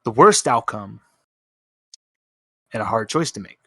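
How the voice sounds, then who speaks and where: A man's voice: lowish voice, lively intonation, unhurried, fairly bright tone, breathy edge, no room sound, clean studio sound. A man narrating as game master in a tabletop roleplaying game, improvising with emphatic repeats.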